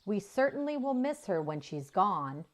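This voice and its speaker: A woman's voice: mid-range voice, big pitch swings, normal speed, lightly acted. A woman voicing a world-wise old female cow in a children's story, chiding and reflective. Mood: grateful, fond.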